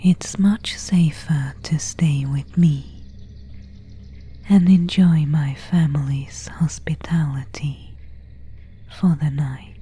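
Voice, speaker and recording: Woman playing a slow, whispering vampire seductress. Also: deep voice, steady tone, mellow, exaggerated voice. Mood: quietly commanding. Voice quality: breathy, unvoiced whisper. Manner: slow, formal, old-fashioned phrasing. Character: seductive, predatory female vampire, courteous and menacing. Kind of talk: whispered ASMR roleplay.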